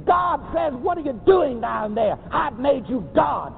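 A man preaching a sermon, shouting and leading his congregation in call and response. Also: very high voice, lively intonation, measured pace, vocal fry, faint music behind. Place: church. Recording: tinny lo-fi congregation recording with crowd noise.

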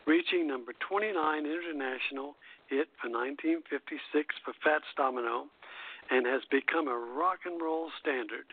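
A man hosting a radio show, reading prepared music history notes in a halting way and stumbling over the text. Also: on the high side, dry recording. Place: internet radio show over a phone connection. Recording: tinny, narrow phone-line radio sound.

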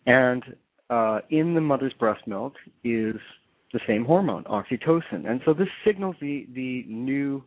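A man hosting a call-in radio show, explaining psychology in a halting, restarting way. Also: mid-range voice, expressive, no echo. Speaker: radio talk show host. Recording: tinny phone-line sound.

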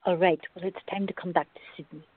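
A woman's voice: fairly low pitch, steady tone, fast talker, slightly rough, no room sound. A woman playing a firm, sensible peacemaking partner in a radio drama, with a chiding but fond manner. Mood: gentle. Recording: thin, phone-like band-limited audio.

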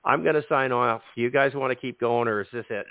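A man hosting a casual sports podcast, hoarse and losing his voice, often repeating words as he starts a sentence. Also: medium pitch, quick pace, no echo. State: losing his voice, sore throat. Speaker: podcast host. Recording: dry tinny phone-like line.

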